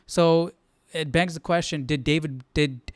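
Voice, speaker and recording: A man's voice: fairly high pitch, steady tone, unhurried, smooth, no echo, studio quality. A man hosting a casual live Bible study, thinking aloud informally and often checking in with the listener. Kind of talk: casual live commentary on scripture.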